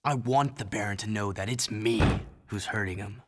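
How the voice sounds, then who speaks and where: A man's voice: lowish voice, normal speed, heavy background noise, lightly acted. An actor playing a blunt, determined young male hero in a video game, who speaks in a terse, impatient way. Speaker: game character.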